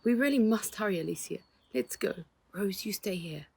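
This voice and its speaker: A woman's voice: mid-range voice, normal speed, creaky, dark tone, slightly rough, voice pushed up. A woman voicing a worried, firm father in a children's story, emphatic and urgent. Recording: noticeable background noise.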